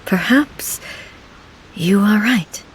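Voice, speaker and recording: Regal woman ruler, video game character, medium pitch, measured, formal, kindly, reserved, faint background noise. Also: low-quality recording. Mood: gentle.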